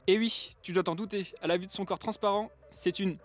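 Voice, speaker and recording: Guy playing chatty teasing sidekick, high voice, talks really fast, casual sci-fi drama. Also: soft background music, lightly acted. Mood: explaining. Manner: teasing, informal, animated talk. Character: chatty, teasing young male companion of space adventurer. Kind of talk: French audio drama dialogue.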